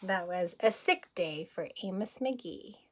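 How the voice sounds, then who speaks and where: A woman reading a picture book aloud as a kindergarten teacher, gently and expressively, with questions to the listeners. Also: mid-range voice, lively intonation, takes it slowly, slight background noise.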